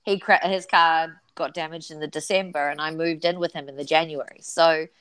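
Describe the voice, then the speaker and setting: A woman from New Zealand with a lowish voice, chatting as a guest on an online call. She is cheerful and rambling and often breaks into giggles. The sound is dry and close, from a mic right up to her face. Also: fairly even intonation, brisk, a bit bright, smooth, no room sound. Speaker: podcast co-host, guest. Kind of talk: casual chat. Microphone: close USB mic.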